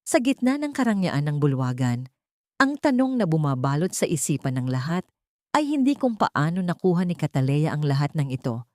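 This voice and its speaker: Female narrator for melodramatic audio fiction: slightly low pitch, highly animated intonation, scripted delivery. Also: moderate pace, no room sound, clean studio sound. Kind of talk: dramatic scripted story narration.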